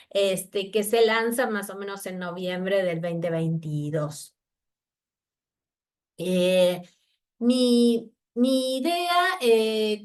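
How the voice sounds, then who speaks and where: Argentine woman giving an academic talk. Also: mid-range voice. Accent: Argentine Spanish. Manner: thoughtful, many fillers and restarts. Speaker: university researcher giving a talk. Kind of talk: academic lecture by video conference.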